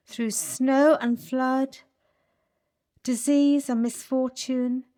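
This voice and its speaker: Radio play: a woman as a slow, high-voiced Victorian schoolmistress, solemn and regretful. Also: darkish tone. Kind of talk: community radio play monologue.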